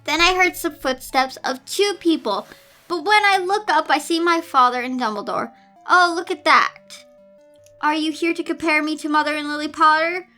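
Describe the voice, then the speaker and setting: A woman's voice: high voice, normal speed, pushed and bright, soft background music. A woman reading a story aloud as a depressed, sarcastic young girl narrating her own misery. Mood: bitter, sarcastic. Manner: self-pitying, sarcastic. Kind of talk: amateur dramatic story reading.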